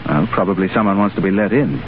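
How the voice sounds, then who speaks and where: An actor playing an idealistic, stubborn and wry English diplomat in a 1930s radio drama. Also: male voice, fairly low pitch, lively intonation, quick pace, creaky. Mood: breezy, wry. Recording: noisy, narrow-band 1930s radio broadcast.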